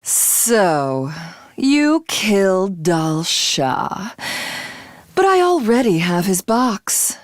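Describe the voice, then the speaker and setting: A woman's voice: mid-range voice, a little mellow, dry recording, studio quality. A woman voicing a haughty, ruthless alien villainess in a video game, with a commanding, imperious and grandiose delivery.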